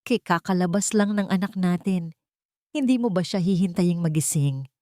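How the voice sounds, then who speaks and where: A woman voicing a wounded, loving young mother in a story drama, emotional and quietly resolute. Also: lowish voice, normal speed, no echo, clean studio sound, close to an everyday voice. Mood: hurt. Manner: pleading, emotional.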